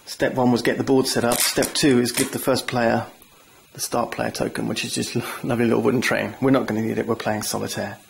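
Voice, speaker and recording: A man's voice: mid-range voice, little pitch movement, fairly quick, gravelly, slight background noise. A man hosting a board game channel, walking viewers through setup and rules in a chatty, enthusiastic way with self-deprecating asides. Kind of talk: tabletop game rules walkthrough.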